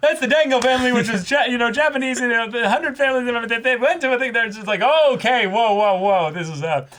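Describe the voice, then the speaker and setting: A man's voice: very high-pitched, fast talker, a bit bright. A man co-hosting a reaction show, chatting casually about a series and animatedly acting out imagined lines of dialogue. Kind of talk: casual anime review chat.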